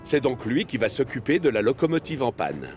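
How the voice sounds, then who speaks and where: Guy narrating a kids cartoon, really lively intonation, music playing behind. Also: medium pitch, a little fast. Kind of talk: scripted children's story narration.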